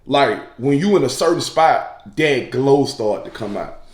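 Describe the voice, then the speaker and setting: A man's voice: medium pitch, lively intonation, a little slow. A man giving a casual reaction in conversational slang, often seeking agreement, with the sound of the room around him. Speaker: reaction video commentator. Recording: roomy, echoing voice recording.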